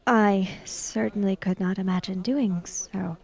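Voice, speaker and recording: A woman's voice: medium pitch, fairly even intonation, unhurried, gravelly, darkish tone, voice pushed down. A woman playing a reserved, hesitant young country woman traveller in a tabletop roleplaying game.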